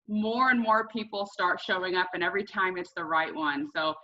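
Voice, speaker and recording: A woman hosting an online call, telling personal stories and introducing a guest in an earnest, expressive way. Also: lowish voice, moderate pace. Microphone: video call. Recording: dull lo-fi online call audio.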